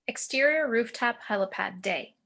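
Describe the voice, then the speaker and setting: A woman's voice: fairly high pitch, expressive, moderate pace, pushed and bright. A woman reading out scene headings and action description as the narrator of a screenplay table read. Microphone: home video call.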